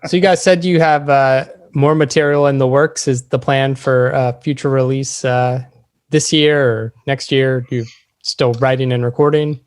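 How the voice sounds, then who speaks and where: A man with a medium-pitched voice, hosting a podcast interview. He talks in an informal, conversational way. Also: steady tone, moderate pace, clean tone. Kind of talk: casual interview chat.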